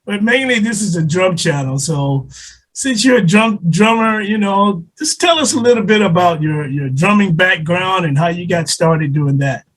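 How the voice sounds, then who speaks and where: A man's voice: high-pitched, expressive, normal speed, fairly bright tone, low-quality recording. A man hosting a casual interview podcast, laid-back and laughing often, talking loosely with plenty of fillers. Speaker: podcast host.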